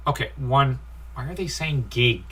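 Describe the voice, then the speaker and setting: Slow-talking man with a bright, critical edge. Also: mid-range voice, slightly rough. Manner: nitpicky, critical, sighing. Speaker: comic book reviewer. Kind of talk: informal comic review commentary with read-out dialogue.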